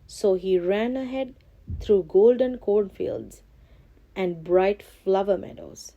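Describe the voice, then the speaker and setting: A woman reading a scripted children's storybook as narrator, expressive and carefully phrased. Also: medium pitch, lo-fi sound.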